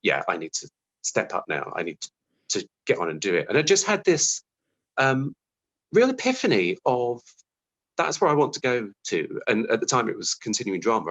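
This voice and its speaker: A man's voice: on the low side, a bit bright, husky, poor audio. A British man answering interview questions, talking reflectively and conversationally about his own working life, often acting out his thoughts. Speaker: interview guest, TV writer.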